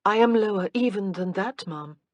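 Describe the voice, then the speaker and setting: A woman's voice: medium pitch, moderate pace, darkish tone, no room sound, dull audio, pitched above the performer's own voice, lightly acted. A woman voicing an earnest, dignified young woman teacher in an audiobook.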